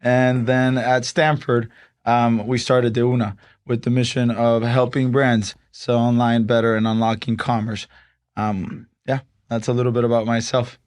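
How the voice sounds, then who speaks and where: Relaxed man from Honduras, podcast guest. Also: medium pitch, a little slow. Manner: relaxed, explains step by step with many "um" and "like". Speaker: startup co-founder, guest. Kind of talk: casual podcast interview.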